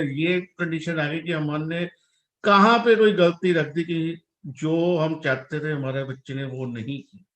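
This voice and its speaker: A man's voice: a little high, a bit bright, echoey room, low-quality recording. A man in his forties from India, a parent speaking earnestly and reflectively in a casual talk, mixing English words into his speech. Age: 40s. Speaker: parent guest.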